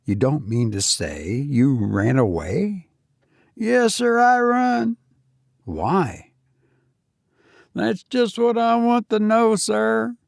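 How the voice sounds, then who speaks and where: A man voicing a nervous, deferential young Cockney servant with rough working-class speech. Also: high-pitched, sing-song, drawn-out words, pauses often, a little mellow, no room sound, studio quality, pitched above the performer's own voice, exaggerated voice. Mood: uneasy.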